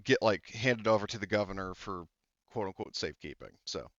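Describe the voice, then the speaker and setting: Rambling game master, dull lo-fi sound. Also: male voice, lowish voice, fairly quick, dry recording. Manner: rambling, full of fillers and self-corrections. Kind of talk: casual tabletop game narration. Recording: dull lo-fi dry mic.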